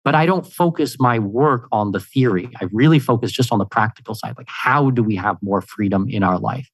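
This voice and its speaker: A man's voice: medium pitch, fairly quick, no room sound. Upbeat man on a podcast. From Canada. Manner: energetic and upbeat, many "you know" fillers. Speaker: guest, expat consultant. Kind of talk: conversational interview answers.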